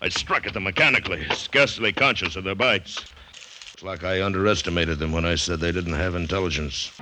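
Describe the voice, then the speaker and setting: A man's voice: deep voice, brisk, natural voice. An actor playing a desperate man recounting an agonising attack, intense and breathless, in old American radio drama style. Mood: tense, haunted. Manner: intense, breathless first-person narration. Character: desperate man attacked by swarming ants, recounting his ordeal. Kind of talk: 1930s-1940s American radio drama acting. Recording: noisy old radio recording with clicks.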